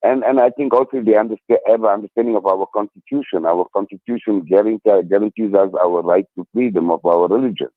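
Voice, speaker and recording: A man's voice: fairly low pitch, quick pace, hardly any pauses, no room sound. A man speaking earnestly as an interview guest over the phone, often repeating his words and phrases. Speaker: interview guest, local community representative. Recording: tinny narrow-band phone line.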